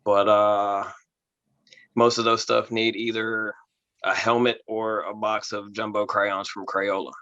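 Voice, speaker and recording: A man from Florida doing a stand-up set with a calm, smooth, self-deprecating delivery over a video call. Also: fairly low pitch, a little slow, no room sound, dull audio. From Florida, USA. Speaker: stand-up comedy contestant. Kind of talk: stand-up set, then taking feedback.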